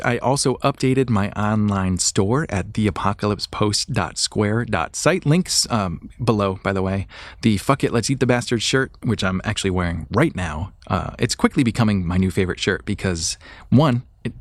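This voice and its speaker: A man's voice: lowish voice, lively intonation, normal speed, dry recording, clean studio sound. A man hosting a podcast solo, chatting casually and informally, speaking straight to his listeners. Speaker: podcast host.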